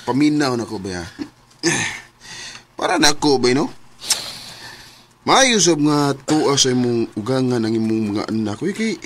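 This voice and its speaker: Easygoing, animated male drinking buddy. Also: medium pitch. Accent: Cebuano. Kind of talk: Cebuano radio drama acting.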